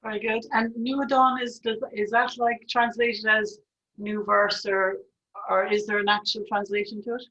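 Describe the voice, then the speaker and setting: A woman's voice: medium pitch, flat delivery, moderate pace, fairly bright tone. A woman interviewing a band over a video call, effusive and generous with praise. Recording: dull lo-fi video call audio.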